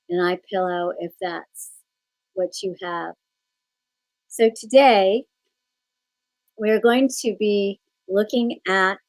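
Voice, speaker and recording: A woman teaching yoga nidra, giving guided relaxation instructions in unhurried phrases with pauses. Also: medium pitch, a little mellow, poor audio.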